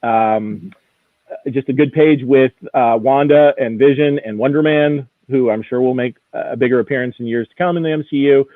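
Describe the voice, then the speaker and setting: A man's voice: mid-range voice, normal speed, no room sound. Man on a dry, phone-like line. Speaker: auction house executive as guest expert. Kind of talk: live auction preview commentary, conversational. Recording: narrow, phone-like radio-style sound.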